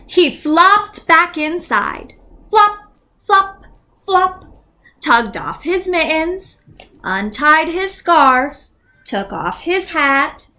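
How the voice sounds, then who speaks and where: Slow, lively woman reading to kids. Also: extremely high pitch. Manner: slow, expressive, animated sound effects. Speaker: teacher. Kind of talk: read-aloud storytelling for young learners.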